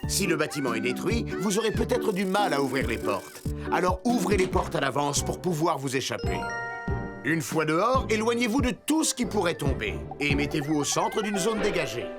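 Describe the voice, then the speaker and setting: Brief: heroic cartoon rescue vehicle, reassuring instructor, lively intonation, music underneath. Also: male voice, a little high, normal speed. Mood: earnest, instructive. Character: heroic talking rescue fire truck, steady male safety teacher. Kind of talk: French-dubbed children's cartoon, explanatory.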